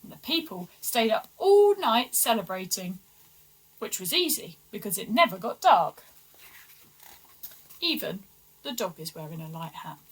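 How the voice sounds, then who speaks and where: A woman reading a children's storybook aloud with expressive, deliberate, dramatic emphasis. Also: medium pitch, husky, poor audio. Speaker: story reader for schoolchildren.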